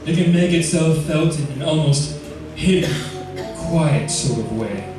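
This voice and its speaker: An actor playing a macabre, punning male host of an old radio horror show, with drawn-out theatrical delivery. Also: on the high side, expressive. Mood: somber, ominous. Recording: echoey, distant old radio recording, music behind.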